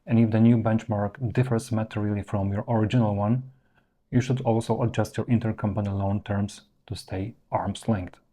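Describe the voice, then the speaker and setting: A man presenting an instructional explainer in a measured, structured way, stressing his key points. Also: fairly low pitch, steady tone, mellow. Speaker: presenter of professional explainer videos. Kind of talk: instructional explainer, semi-scripted narration to viewers.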